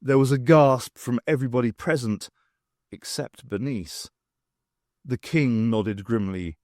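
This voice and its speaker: A man narrating a comic fantasy audiobook in a wry, theatrical way with deliberate pauses. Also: medium pitch, darkish tone, no echo, studio quality.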